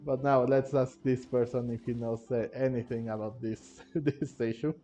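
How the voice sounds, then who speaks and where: A man's voice: mid-range voice, fairly even intonation, normal speed, dark tone. A man giving casual, rambling, hesitant commentary as he plays through a game. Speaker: gaming video host. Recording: dull, lo-fi microphone sound. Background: faint game music.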